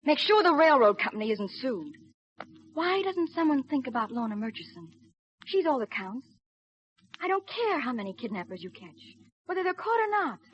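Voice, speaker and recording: An earnest, stubborn young woman in a 1950s radio crime drama. Her voice sits fairly high, and the old recording sounds dull. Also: big pitch swings, moderate pace. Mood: bitter, impassioned. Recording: muffled vintage radio recording.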